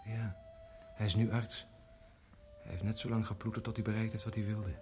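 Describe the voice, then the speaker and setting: A man's voice: lowish voice, steady tone, normal speed, over music, close to an everyday voice. An actor playing a condemned male prisoner, nostalgic and fearful, in a radio play. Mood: wistful, fond. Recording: noisy old radio play recording.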